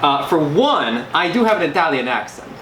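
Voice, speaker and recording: A man playing a shady professional thief applying for a job, talking low and mock-formal. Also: very animated, moderate pace. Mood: smug. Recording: roomy with background noise.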